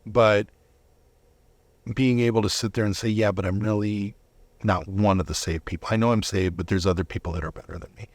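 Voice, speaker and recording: A middle-aged man giving a conversational personal testimony as an interview guest, reflective and halting, often rephrasing himself. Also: on the low side, fairly even intonation, dry recording.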